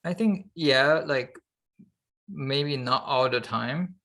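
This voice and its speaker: A man co-hosting a podcast in casual, unscripted chat, halting as he thinks aloud. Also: medium pitch, pushed and bright. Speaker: podcast co-host.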